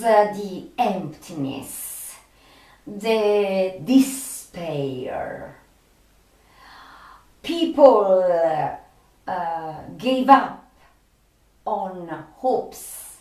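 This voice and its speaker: Woman w explaining stuff really slowly, lots of pauses, echoey room, mic kinda far away. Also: mid-range voice, a little mellow. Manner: halting, with long pauses and frequent hesitations. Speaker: video host. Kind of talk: informal explanatory commentary, retelling film dialogue. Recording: echoey room, distant microphone.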